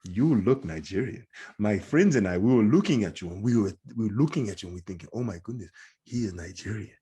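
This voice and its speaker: A man acting out a delighted African woman in a comic anecdote. The voice is low and husky, with emphatic, drawn-out phrases that insist warmly on the point. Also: expressive. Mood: delighted, insistent. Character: delighted African women insisting a stranger is Nigerian.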